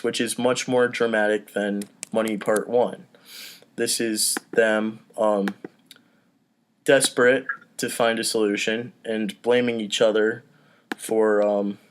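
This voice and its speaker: A man's voice: lowish voice, slow, a little hiss or hum. A man hosting a casual table read, reading out stage directions and talking between them. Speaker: host and stage-direction reader.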